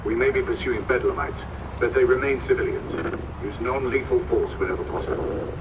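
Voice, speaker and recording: An actor playing a brisk knight commander over radio in a video game, formal, clipped and authoritative. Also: male voice, mid-range voice. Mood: commanding. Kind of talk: video game cinematic acting. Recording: muffled noisy distant radio link.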